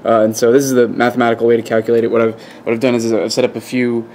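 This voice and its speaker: A man's voice: medium pitch, level tone, very fast, darkish tone, slight background noise. A man giving an informal, hands-on technical explanation in an unscripted, hesitant and methodical way. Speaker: presenter of an electronics demonstration. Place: indoor electronics workbench. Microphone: camera microphone, behind the camera.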